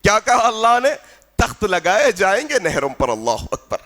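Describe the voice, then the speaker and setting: A man preaching an impassioned religious sermon, exhorting with repeated phrases, swinging between loud, chant-like proclamation and hushed exclamations. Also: high-pitched, sing-song.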